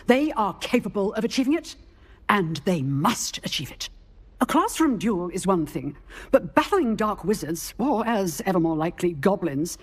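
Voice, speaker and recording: A woman voicing a strict, demanding female magic professor, with a formal, commanding delivery. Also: medium pitch. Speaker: professor teaching dueling. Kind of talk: video game voice acting.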